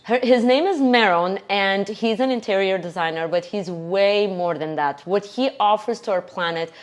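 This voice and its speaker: A woman's voice: mid-range voice, normal speed. A woman hosting an interview, effusive and earnest, full of admiration for her guest. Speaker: interview host.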